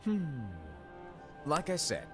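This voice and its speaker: A breezy, teasing mentor in a game cutscene, his voice swinging freely up and down. Game music plays clearly behind him. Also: sits high, wildly swooping pitch, slow, full character voice. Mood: coaxing. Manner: breezy, teasing, then coaxing. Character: playful teasing male mentor leader. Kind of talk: video game cutscene acting. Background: game score music.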